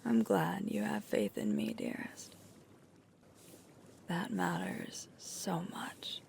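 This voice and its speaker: A woman playing a sweet, tired alpha werewolf girlfriend in an intimate roleplay ASMR, murmuring softly and breathily close to the microphone. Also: fairly low pitch, very animated, full character voice. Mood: tender, grateful. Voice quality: breathy, creaky, often whispering. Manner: slow, soft, unhurried intimate murmur with pauses.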